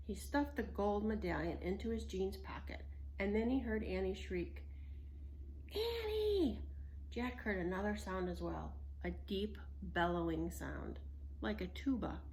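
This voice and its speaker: A woman narrating a children's story in an expressive read-aloud style. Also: medium pitch, moderate pace, slight background noise, poor audio. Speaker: storybook reader.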